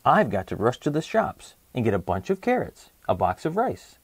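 A man voicing a hurried, muddled young boy on an errand in a children's picture book, reciting in a breezy singsong. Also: mid-range voice, wildly swooping pitch, breathy edge, dry recording, exaggerated voice. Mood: hurried, confused.

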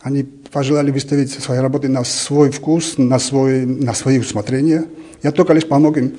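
A man in his fifties answers questions in a mellow voice of ordinary pitch. He speaks haltingly, rephrasing as he goes, into a handheld interview microphone indoors. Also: steady tone. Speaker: art teacher being interviewed. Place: indoor art gallery. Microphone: reporter's handheld microphone.

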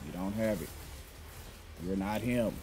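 A man's voice: fairly low pitch, measured pace, noisy background. A young man giving sarcastic, trash-talking commentary while playing a game. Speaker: gamer. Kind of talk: gameplay commentary.

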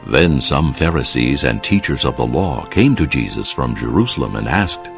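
A man narrating a dramatised Bible audiobook in a measured, solemn storytelling way. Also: very deep voice, lively intonation, vocal fry, faint music behind.

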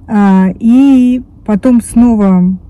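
A woman in her fifties talks to her phone camera from the driver's seat of a parked car. Her voice is soft, dark and warm. She rambles loosely, restarting words and filling pauses as she goes. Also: on the high side. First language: Russian. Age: 50s. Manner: rambling, many restarts and fillers. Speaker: vlogger. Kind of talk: casual chat to camera. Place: parked car, indoors of vehicle. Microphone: phone camera on dashboard.

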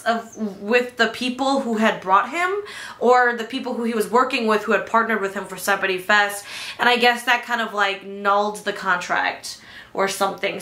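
A woman's voice: a little high, bright, forceful tone. A young woman with an American accent chatting casually as a podcast co-host, rambling with slang and some swearing. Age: young adult. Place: small living room, on a couch. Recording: echoey living room.

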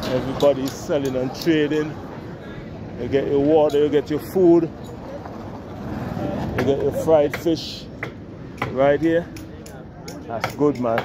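A man's voice: a little high, steady tone. A man in his forties from the Caribbean, walking through a village market and chatting easygoingly to his camera and to passersby. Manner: slow, easygoing, friendly greetings to passersby. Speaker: travel vlogger. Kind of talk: casual walking vlog narration. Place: busy outdoor village market. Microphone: selfie camera at arm's length. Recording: street noise behind the voice.

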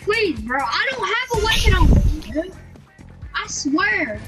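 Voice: extremely high pitch, very animated, unhurried, loud music behind the voice. A gamer talking casually over game voice chat, pleading and protesting in a whiny way.

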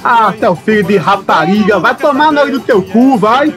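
A man's voice: high-pitched, lively intonation, normal speed, music in the background. A man acting in an audio drama as a brash, whiny, foul-mouthed political candidate with a grumbling, blustering manner. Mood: crude, combative.

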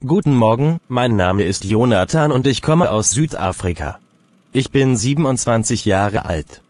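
A man's voice: medium pitch, animated, normal speed, darkish tone, no room sound, lightly acted. A man voicing an exam candidate in his late twenties, introducing himself in plain, simple sentences. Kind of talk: scripted role-play dialogue.

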